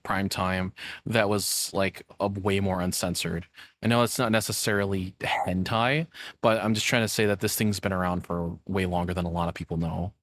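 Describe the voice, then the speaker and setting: A man's voice: lowish voice, a bit bright, no echo, studio quality. A man giving casual, playful, tongue-in-cheek live commentary as a streamer reacting to a video.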